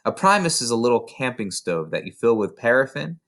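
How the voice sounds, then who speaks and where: Guy reading a kids novel out loud, average pitch, storybook narration. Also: very animated, brisk. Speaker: storybook reader. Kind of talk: scripted narration of a children's novel.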